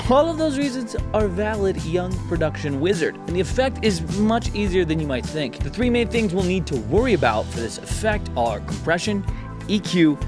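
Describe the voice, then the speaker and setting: A man presenting a music production tutorial in an upbeat scripted style, with a playful, informal manner. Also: a little high.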